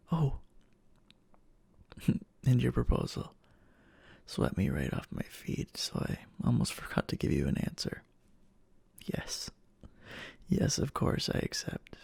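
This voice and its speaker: A man acts out an obsessive, lovestruck boyfriend in an intimate ASMR roleplay. His voice is very breathy and husky, breaking into heavy creak and often sinking toward a whisper. He speaks slowly and haltingly, full of tender endearments. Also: mid-range voice, warm tone, no room sound, full character voice. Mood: overjoyed, adoring.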